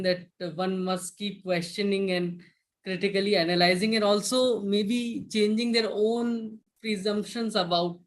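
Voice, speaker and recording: A man's voice: sits high, a bit bright. A man hosting a live-streamed interview, speaking politely and hesitantly, often restarting his sentences. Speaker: interviewer host.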